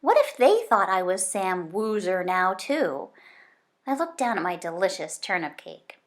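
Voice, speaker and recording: A woman reading a children's book aloud in an expressive storytelling style. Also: medium pitch, unhurried. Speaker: storybook reader.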